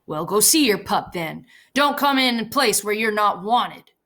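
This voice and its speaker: A woman's voice: mid-range voice, animated, measured pace. Lady reading as a bitter old stable hand, snaps his words short, rural talk. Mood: scolding. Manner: sharp, blunt rural speech. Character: bitter, guarded older male stable hand.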